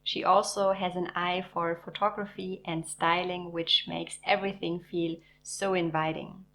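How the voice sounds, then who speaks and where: Woman narrating her knitting video, enthusiastic and measured, lower voice, faint hum behind. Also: level tone, fairly bright tone. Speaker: knitting channel host.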